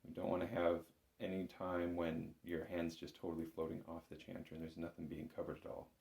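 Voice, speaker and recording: Deep-voiced man teaching in an echoey room. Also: monotone, fairly quick, a little mellow. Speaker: bagpipe instructor. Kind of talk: step-by-step spoken music lesson. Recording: roomy, echoing room sound.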